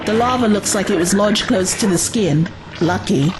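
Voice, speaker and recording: A woman's voice: medium pitch, moderate pace, noisy background, natural voice. A woman acting an anxious, alert young adventurer's companion in an audio drama, urgent and exclamatory. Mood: wary.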